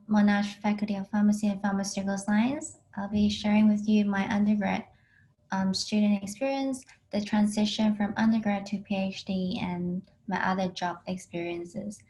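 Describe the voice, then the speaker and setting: A woman in her twenties from Hong Kong, a PhD student giving a plain, unhurried talk over a video call with frequent ums. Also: medium pitch, mellow, low-quality recording. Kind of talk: online alumni talk.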